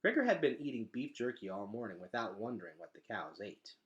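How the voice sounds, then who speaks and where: A man reading a children's novel aloud in an expressive, dramatic storytelling style. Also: lowish voice, a little fast, dull audio. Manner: animated, dramatic delivery.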